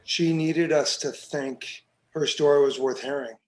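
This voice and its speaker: An actor at a screenplay table read playing a shrewd male police detective, measured and knowing. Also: a little high, fairly even intonation, lightly acted, poor audio.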